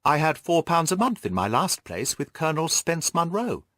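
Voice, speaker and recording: Man reading as a polite, modest young governess, lively intonation. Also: on the high side, moderate pace, no echo, clean studio sound, pitched above the performer's own voice. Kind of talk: audiobook character voice.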